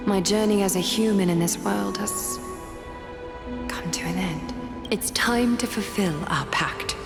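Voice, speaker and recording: A woman's voice: mid-range voice, a little slow, vocal fry. A woman voicing a serene, fading demigoddess in a fantasy game, composed, formal and resigned. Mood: serene resignation. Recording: long echoing reverb, music underneath.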